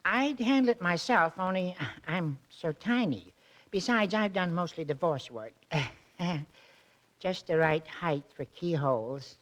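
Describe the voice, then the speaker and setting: An actor playing a tiny, timid but boastful private detective in a 1940s radio drama, stammering and self-important. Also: male voice, high voice, expressive, slow pace, fairly bright tone, heavily acted. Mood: sheepish, confiding. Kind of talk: 1940s radio comic acting. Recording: old 1940s radio recording.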